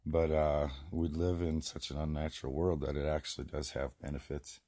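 A hoarse man, about forty, rambling in a casual vlog, filmed on a handheld phone inside a small greenhouse. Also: extremely low pitch, little pitch movement, moderate pace, mellow, no room sound, clean studio sound. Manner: rambling, many restarts and fillers. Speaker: vlogger. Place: small plastic greenhouse, indoors. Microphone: phone camera held close.

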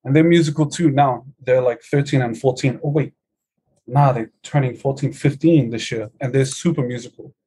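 A man's voice: medium pitch, normal speed, dark tone, slight background noise. A middle-aged man, a musician guest telling his story in a laid-back, easygoing way over a video call. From New Zealand. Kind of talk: casual interview chat.

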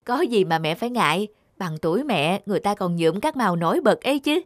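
A man's voice: high voice, animated, a little fast, no echo, studio quality. A man voicing a caring young father in a cartoon, reassuring and coaxing. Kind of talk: cartoon voice acting.

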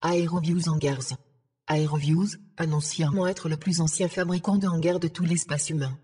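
A synthetic female voice of an AI assistant, giving formal, measured, deadpan scripted information. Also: sits low, slightly rough. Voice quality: synthetic text-to-speech voice. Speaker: AI ship assistant.

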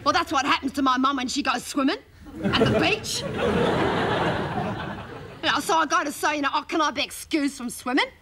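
A woman's voice: high voice, expressive, moderate pace, long pauses. A woman comedian playing a gossipy, indignant, cheeky Australian schoolgirl, telling rambling slangy stories in a stand-up monologue. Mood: indignant, confiding. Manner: breathless rambling slangy storytelling. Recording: live stage, loud audience laughter and noise. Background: loud laughing crowd.

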